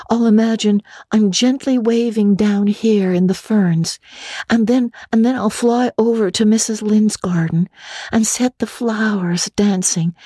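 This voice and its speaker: A woman's voice: a little high, mellow, no room sound, clean studio sound, pitched above the performer's own voice. A woman voicing an imaginative, talkative young girl in a storybook reading, earnest and dramatic, with gushing chatter. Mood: dreamy, excited.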